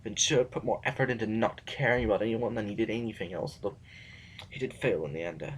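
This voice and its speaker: An actor playing a grave, reflective scholar in an audio drama, speaking in a measured, brooding way. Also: male voice, fairly low pitch, slight background noise, natural voice, poor audio. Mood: brooding, bitter. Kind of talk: scripted audio drama acting.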